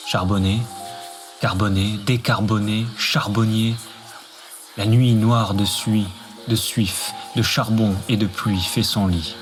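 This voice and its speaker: A man delivering a scripted, poetic radio monologue with music behind him. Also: lowish voice. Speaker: narrator.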